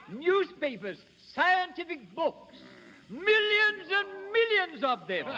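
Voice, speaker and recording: A man's voice: very high-pitched, exaggerated voice. A man playing a pompous music-hall master of ceremonies, announcing in a florid, projected 1930s radio acting style. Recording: noisy muffled 1930s radio broadcast.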